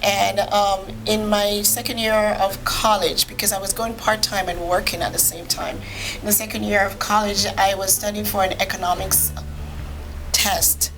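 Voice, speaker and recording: A woman from Jamaica, a physician appearing as a podcast guest, telling her personal story in a measured, matter-of-fact way. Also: mid-range voice, little pitch movement, poor audio.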